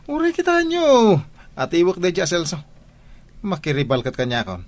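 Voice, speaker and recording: A man's voice: sits high, wildly swooping pitch, unhurried, heavily acted. A man with an Ilocano accent playing a boastful young village suitor in a radio comedy drama, teasing and chuckling.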